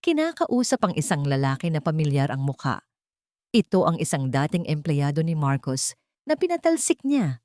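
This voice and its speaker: Low, measured woman narrating a drama. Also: sing-song, a little mellow, no echo, studio quality. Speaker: audiobook narrator. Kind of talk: first-person dramatic story narration.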